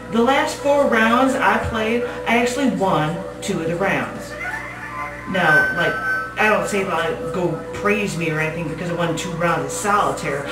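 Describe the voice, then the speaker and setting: A woman's voice: medium pitch, close to an everyday voice. Confined, unhinged Southern woman played by a female stage reader, colloquial, repetitive and defensive; echoey live room with music underneath. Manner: rambling, halting, colloquial, repetitive. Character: confined woman inmate acting crazy, rambling, defensive, paranoid. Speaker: performer reading a monologue. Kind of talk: live dramatic monologue reading. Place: small gallery cabaret stage. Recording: roomy distant live recording with music.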